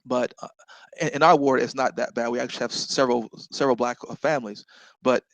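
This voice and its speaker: A man's voice: mid-range voice, fairly even intonation, fast, dry recording. Earnest middle-aged Southern man. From North Carolina. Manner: earnest, repeats words and restarts, says "right?" often. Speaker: interview guest. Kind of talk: casual conversational interview.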